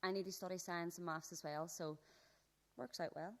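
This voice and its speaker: A woman's voice: on the low side, fairly even intonation, moderate pace, natural voice. A woman acting in a radio play as a blunt, sarcastic teenage schoolgirl who is exasperated but caring. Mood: grudgingly helpful.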